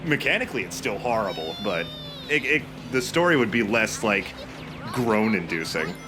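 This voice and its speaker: A man doing casual video game commentary, phrasing his opinions in a halting, considered way. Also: fairly low pitch, big pitch swings, over music. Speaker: let's play commentator.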